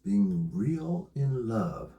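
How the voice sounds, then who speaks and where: A man with a loud voice, laughing often, chatting with his partner on a talk show. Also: fairly low pitch, big pitch swings, drawn-out words. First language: not English. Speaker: co-host. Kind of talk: casual couple conversation. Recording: echoey room.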